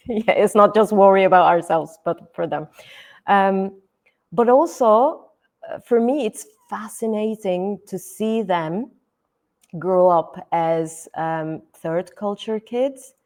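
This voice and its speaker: Middle-aged woman from Turkey, native Turkish speaker; unhurried, thoughtful interview guest, filler-heavy. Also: mid-range voice, darkish tone. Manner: thoughtful, many fillers, repeats "et cetera". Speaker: guest educator. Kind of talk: podcast interview.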